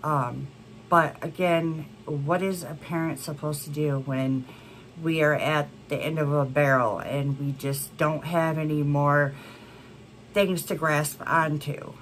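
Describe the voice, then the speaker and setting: Slow, congested woman vlogger. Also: deep voice, fairly even intonation, slight background noise, poor audio. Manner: slow, rambling, many fillers. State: congested from a cold. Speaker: beauty vlogger. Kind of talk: casual chat to camera. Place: home room with a plant wall and neon signs.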